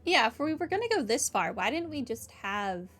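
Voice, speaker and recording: A woman's voice: fairly high pitch, big pitch swings, moderate pace, a bit bright, faint music behind. A woman hosting a video game playthrough, thinking aloud in casual, conversational commentary. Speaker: gaming video host.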